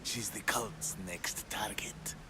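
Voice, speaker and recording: An actor playing a secretive, coldly cautious cult conspirator in a video game, speaking in a measured and guarded manner. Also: male voice, fairly low pitch, in a whisper, music in the background. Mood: conspiratorial. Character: scheming, secretive male cultist, coldly cautious. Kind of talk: dramatic video game acting.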